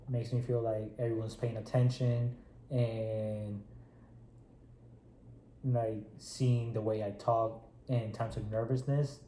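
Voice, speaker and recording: A man's voice: fairly low pitch, little pitch movement, slow pace, dark tone, a little hiss or hum. A man in his twenties with a Spanish accent, a native Spanish speaker, answering politely and briefly as a client in a role-played counseling session. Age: 20s. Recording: roomy echo.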